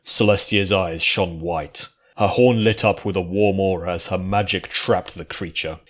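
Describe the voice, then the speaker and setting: Guy with a lowish voice reading out a fantasy story, scripted narration. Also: steady tone, a little slow.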